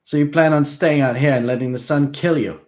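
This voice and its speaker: A man voicing a young male half-vampire who speaks boldly and forcefully. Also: fairly high pitch, fairly even intonation, normal speed, pitched above the performer's own voice, natural voice. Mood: defiant. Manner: forceful, accusing.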